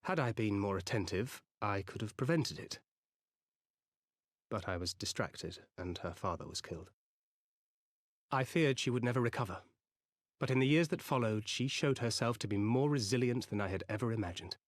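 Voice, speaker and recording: An actor playing a solemn, protective adventurer haunted by regret in a fantasy game, speaking in an earnest, measured way. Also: male voice, lowish voice, lively intonation, dry recording, studio quality, natural voice. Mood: regretful. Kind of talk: fantasy video game cutscene acting.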